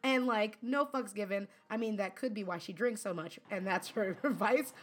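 A woman co-hosting a podcast in casual chat, giggly and animated, often acting out quotes. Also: mid-range voice, normal speed, over a noisy crowd. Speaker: podcast co-host.

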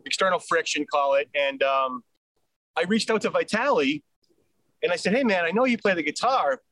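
A man with a Boston accent, a band's singer and rhythm guitarist, telling stories in a relaxed, chatty way during an interview. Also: fairly high pitch, no room sound, poor audio. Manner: easygoing, chatty storyteller. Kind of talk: casual storytelling in an interview.

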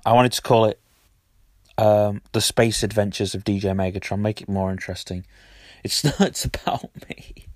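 A man hosting his own audio blog, his voice husky from a cold, chatting and rambling with lots of ums. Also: fairly low pitch, no room sound, clean studio sound. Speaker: podcast host. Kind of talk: casual solo audio blog.

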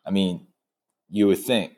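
A man's voice: low-pitched, a little slow, a little mellow. A chef in his thirties chatting casually over drinks, rambling with lots of fillers and laughing often. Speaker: chef and restaurant owner. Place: in a restaurant.

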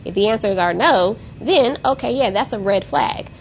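A woman's voice: medium pitch, expressive, moderate pace, slight background noise. A woman co-hosting a podcast, talking about relationships in an emphatic way and building up to pointed exclamations. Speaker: co-host. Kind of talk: casual podcast discussion.

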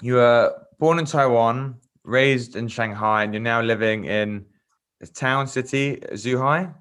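A man's voice: medium pitch, measured pace, a bit bright, clear, resonant tone. A man speaking as the host of a casual interview, putting questions to a guest in conversational style.

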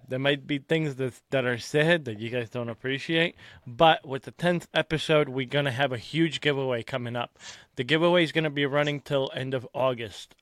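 Rambling casual podcast host, dry sound. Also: male voice, mid-range voice, a bit bright, no room sound. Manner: loose, rambling, lots of filler words.

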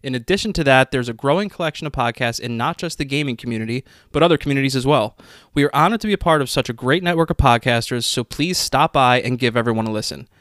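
Fast-talking guy hosting a gaming podcast, jokey, swears, dry sound. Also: medium pitch, fairly bright tone, dry recording. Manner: quick, joking, swears a lot. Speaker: podcast host. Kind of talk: casual chat.

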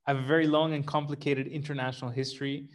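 A man's voice: fairly high pitch, flat delivery, fast. A middle-aged man from Sri Lanka co-hosting a casual podcast, self-deprecating and wry, with many fillers. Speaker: startup co-founder, podcast host.

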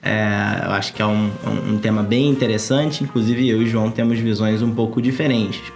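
A man from Rio de Janeiro with a Carioca accent, co-hosting a casual podcast in a dry, measured way. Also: on the low side, fairly even intonation, creaky, darkish tone, soft background music. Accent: Carioca Portuguese. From Rio de Janeiro, Brazil. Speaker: podcast co-host. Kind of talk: casual chat.

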